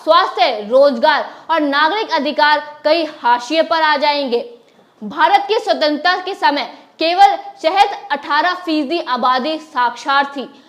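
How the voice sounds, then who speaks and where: High-pitched woman reading news commentary. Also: fairly bright tone. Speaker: news presenter. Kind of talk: scripted news commentary read aloud.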